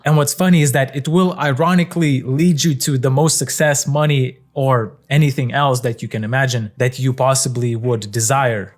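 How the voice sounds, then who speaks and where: A young man speaks to the camera with a Lithuanian accent at a mid-range pitch, musing in an easygoing way. His English is conversational and loose, with fillers and the odd curse word. He sounds like a creator thinking out loud. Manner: relaxed, conversational, frequent fillers and casual swearing. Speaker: content creator. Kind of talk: casual reflective monologue.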